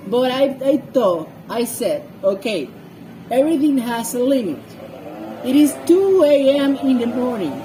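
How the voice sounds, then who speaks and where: A woman who is not a native English speaker, giving informal Bible commentary with personal stories in a halting way, searching for words. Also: sits high, animated, dark tone, slight background noise, poor audio. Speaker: scripture reader and commentator.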